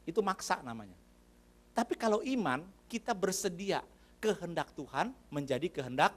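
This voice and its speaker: A man's voice: sits high, big pitch swings, normal speed, breathy edge. A man preaching a sermon in a church. He talks to the congregation in a conversational way, addresses them often and asks them questions. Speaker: pastor.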